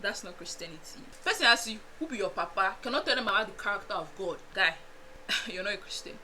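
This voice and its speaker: A young Nigerian woman with a Nigerian accent, chatting casually to her viewers in an animated storytime vlog, laughing often and making asides. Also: mid-range voice, fast talker, slight background noise. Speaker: vlogger.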